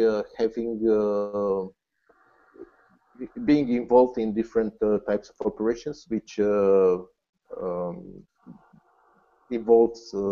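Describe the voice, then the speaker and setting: Halting man on a call. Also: fairly low pitch. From Romania. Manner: halting, searches for words. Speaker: guest. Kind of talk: casual interview chat. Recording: muffled lo-fi call audio.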